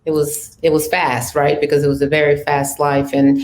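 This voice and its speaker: Woman, very low flat voice, echoey room, faint background hum. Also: moderate pace. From Cameroon, raised in Paris. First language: French. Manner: casual, with many fillers and restarts. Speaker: panelist, talent manager.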